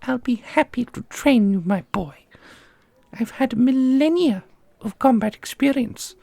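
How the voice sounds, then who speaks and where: A woman playing an ancient custodian and combat mentor in an ASMR roleplay, doting and sharply sarcastic, with a husky, creaky voice. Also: fairly high pitch, animated, a little slow, dark tone, no echo, heavily acted. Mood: encouraging. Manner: theatrical, teasing, instructive. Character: millennia-old custodian mentor, doting on pupil, witheringly sarcastic.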